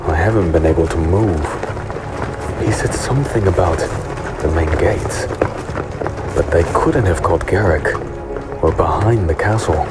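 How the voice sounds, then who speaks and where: An actor playing a wary hooded rogue, narrating in the first person in a fantasy audio drama, low-key and brooding. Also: male voice, measured pace, pauses often. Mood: anxious. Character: wary, hooded male rogue narrating his own infiltration. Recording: loud music bed behind voice.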